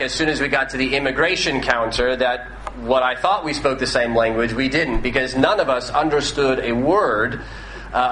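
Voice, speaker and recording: A man's voice: mid-range voice, pushed and bright, roomy sound, a little hiss or hum, low-quality recording. An American man in his thirties preaching a sermon in a conversational way, with frequent fillers and restarts. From northwestern United States. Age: 30s. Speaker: pastor.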